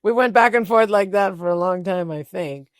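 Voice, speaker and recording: A woman's voice: mid-range voice, moderate pace, no room sound, clean studio sound. A woman hosting a casual interview, talking informally and thinking aloud as she goes. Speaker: interview show host.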